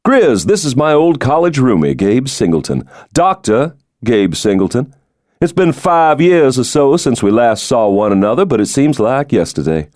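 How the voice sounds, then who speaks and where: A man's voice: medium pitch, big pitch swings, moderate pace, darkish tone, no echo, clean studio sound, voice pushed up, close to an everyday voice. A man voicing a breezy, folksy middle-aged American President who jokes heartily, in an audiobook. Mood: jovial, teasing. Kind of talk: audiobook character dialogue.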